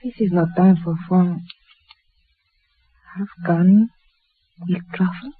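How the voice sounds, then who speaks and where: An actress playing an anguished, proud Mexican don's wife in a 1950s radio drama, with an emotional, curt delivery. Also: female voice, fairly low pitch, steady tone, slow, slightly rough. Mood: bitter. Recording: muffled vintage radio recording.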